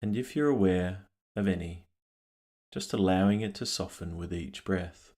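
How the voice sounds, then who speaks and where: A man leading a guided meditation, speaking calmly and gently in unhurried phrases with long pauses. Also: low-pitched. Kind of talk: guided meditation, scripted narration.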